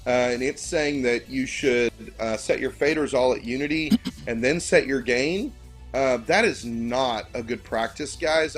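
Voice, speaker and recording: A man with a big voice co-hosting a casual podcast chat about church media, explaining technical points straight to listeners. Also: mid-range voice. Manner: instructive, addresses listeners directly.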